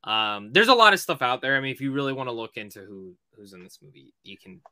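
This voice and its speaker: A man's voice: medium pitch, sing-song, quick pace, bright, forceful tone, dry recording. A man co-hosting a podcast, chatting casually and informally about movies. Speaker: podcast co-host.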